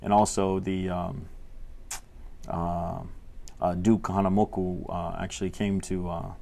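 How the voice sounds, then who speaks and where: A young man from Tonga, a state legislator answering interview questions in a halting way, full of fillers and restarts. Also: sits low, little pitch movement, creaky, a little mellow, a little hiss or hum. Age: young adult. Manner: hesitant, many fillers and restarts. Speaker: legislator guest.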